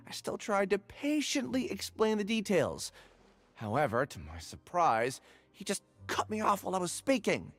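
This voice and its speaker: An actor playing an anxious, aggrieved salesman in a video game, flustered, wordy and theatrically indignant. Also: medium pitch.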